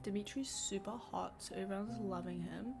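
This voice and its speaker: A woman's voice: mid-range voice, normal speed, gravelly, music in the background. A young woman with a New Zealand accent, sniffly, chatting casually and self-deprecatingly while reading a book aloud on a livestream at home.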